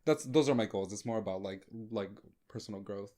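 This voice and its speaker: A young man whose first language is Spanish, chatting casually as a podcast guest, talking in halting bursts with lots of "like" and "um". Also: lowish voice, dark tone. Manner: halting, fills with "like" and "um". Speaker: podcast guest, drag performer. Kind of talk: casual chat.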